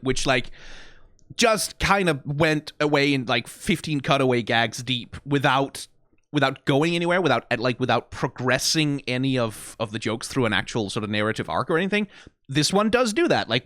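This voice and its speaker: Man with a lively voice musing critically. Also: medium pitch, no echo, clean studio sound. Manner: rambling, analytical, peppered with "like" and "right?"; profane and wry. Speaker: reaction video host. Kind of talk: casual critical commentary.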